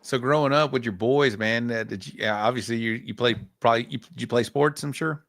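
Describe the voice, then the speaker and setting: A man's voice: medium pitch, brisk, fairly bright tone, dry recording, clean studio sound. A middle-aged man hosting a podcast, talking in a friendly way and often calling people "man" and "bro". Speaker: podcast host. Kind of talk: casual podcast interview.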